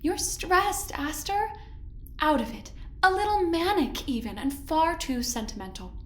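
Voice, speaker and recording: An actress playing a smooth, patronizing woman who directs a research project on a spaceship in an audio drama, with mock-sweet control. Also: high voice, animated, a little slow. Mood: condescending, controlling. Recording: roomy, echoey sound, music underneath. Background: music.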